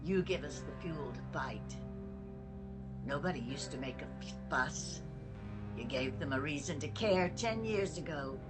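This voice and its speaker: A woman reading a disillusioned, emotionally numb woman activist in a noir table read, with a weary, flat delivery. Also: low voice, unhurried, over loud music.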